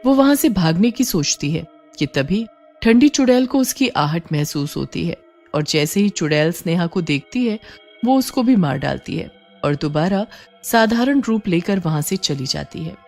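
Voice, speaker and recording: A woman's voice: fairly low pitch, animated, moderate pace, darkish tone, soft background music. A woman reading scripted horror story narration as the narrator.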